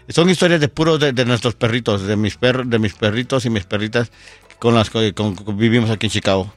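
A middle-aged man from Guatemala, telling his story as a radio guest, unhurried with many fillers. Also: mid-range voice, soft background music. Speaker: storyteller and event producer, guest. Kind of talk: radio interview. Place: radio studio.

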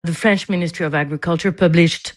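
Female expert interviewee, fairly low pitch, explanatory answers. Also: fairly even intonation, fairly quick. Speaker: wine expert guest.

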